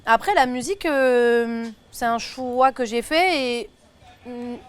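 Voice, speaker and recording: A woman's voice: a little high, slow pace, smooth. A woman in her twenties from Belgium, a singer and influencer chatting casually with many fillers and restarts. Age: 20s. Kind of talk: casual chat. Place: table at a food tasting.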